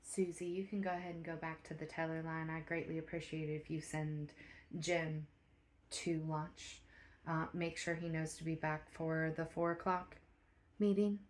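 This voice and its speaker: A woman as a quiet, measured bank manager. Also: low voice, flat delivery, close to an everyday voice. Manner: quiet, measured, hesitant with fillers. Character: soft-spoken, supportive woman bank manager. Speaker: branch manager.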